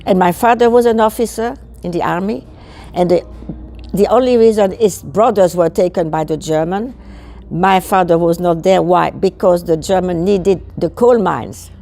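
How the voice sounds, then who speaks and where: An elderly woman from Belgium whose first language is French, speaking English with a Belgian French accent. Her voice is low, dark and gravelly. She searches for words and repeats herself as she recalls her childhood. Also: moderate pace. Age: 80s. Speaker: guest. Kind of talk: recounting childhood memories.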